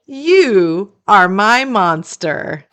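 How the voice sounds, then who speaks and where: A woman reading a picture book aloud to children as a storytime host, in a deliberate storyteller's delivery. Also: medium pitch, sing-song, fairly bright tone, some chatter behind, a little hiss or hum.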